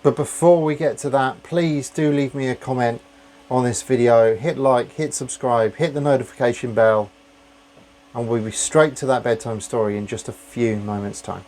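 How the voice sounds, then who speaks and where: A man in his thirties reading out a bedtime story with a lilting, sing-song storyteller's lift on the fairy-tale phrases. He talks straight to a camera from his home office desk, in a medium-pitched voice. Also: fairly even intonation, normal speed, a little mellow. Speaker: channel host.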